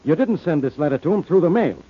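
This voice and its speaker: A gruff, suspicious police inspector on a muffled vintage radio show. His rough-edged voice sits fairly high and swings widely in pitch as he needles people bluntly with hard-boiled sarcasm. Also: animated, fast. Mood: exasperated, accusing. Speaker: actor in a detective radio drama. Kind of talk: old-time radio detective acting. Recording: muffled old-time radio recording.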